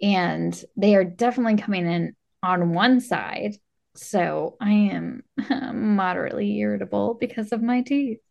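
A woman's voice: medium pitch, measured pace, gravelly, a little mellow, highs cut off. A woman co-hosting a podcast, chatting casually and comedically about movies with jokingly emphatic exclamations. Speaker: podcast co-host.

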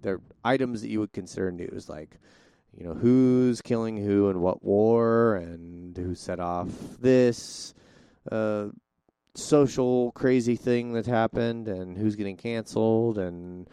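A man's voice: lowish voice, slow, warm tone, clean tone, no echo, clean studio sound. A man co-hosting a podcast in casual chat, talkative and theatrical, often restarting his sentences. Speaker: podcast co-host.

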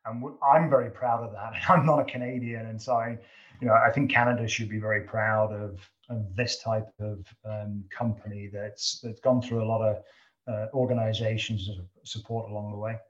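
Halting British man, lowish voice. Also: fairly even intonation, fairly bright tone, dull audio. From United Kingdom. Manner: halting, many restarts and repeated words. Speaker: company CEO, guest. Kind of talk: business interview.

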